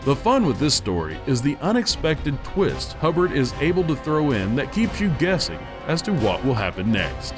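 Man, casual audiobook review, enthusiastic, lively intonation, mid-pitched voice. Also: normal speed, hardly any pauses, over loud music. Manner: enthusiastic, conversational.

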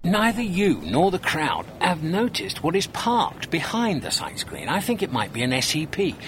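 An actor playing an impatient, brash alien man in a radio play, easily exasperated and quick to shout. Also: on the high side, huge pitch swings, a little hiss or hum. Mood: excitable.

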